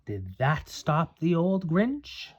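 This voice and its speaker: Dad reading a storybook to kids, really expressive n slow, kinda muffled home recording. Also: male voice, on the high side, husky. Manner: dramatic, drawn-out emphasis on repeated words. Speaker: parent reading to children. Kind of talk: animated storybook read-aloud with casual asides.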